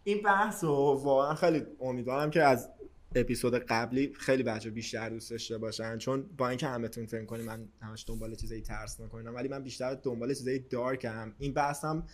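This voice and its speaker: A man, talking as a podcast host in casual, slangy speech sprinkled with English words. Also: medium pitch. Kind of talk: casual conversational podcast chat.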